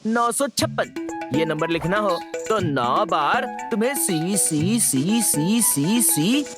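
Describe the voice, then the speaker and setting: A woman's voice: lowish voice, lively intonation, slow pace. A woman playing a playful, wise male guide who teaches children in a theatrical, encouraging way in an educational cartoon. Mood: explaining. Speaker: storytelling teacher. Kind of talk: animated educational cartoon narration. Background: background music.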